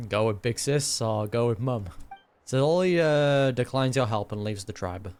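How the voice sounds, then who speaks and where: Casual male gamer reacting, mid pitch. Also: expressive, measured pace, darkish tone, a little hiss or hum. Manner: muttering asides, speculating aloud. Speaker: gaming reaction streamer. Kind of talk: casual live game reaction commentary.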